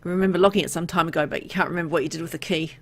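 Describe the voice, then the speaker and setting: A woman with a fairly low voice and plenty of vocal fry narrates a story game. She reads the text aloud like a storyteller, then slips into casual, playful remarks of her own as a player. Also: steady tone, very fast. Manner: reacts playfully to the story with exclamations and asides. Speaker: video game let's player.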